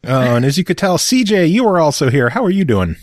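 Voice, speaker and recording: A man's voice: on the high side, big pitch swings, fast talker, no echo, clean studio sound. A man hosting a podcast in casual chat, teasing his co-hosts with crude jokes. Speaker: podcast host.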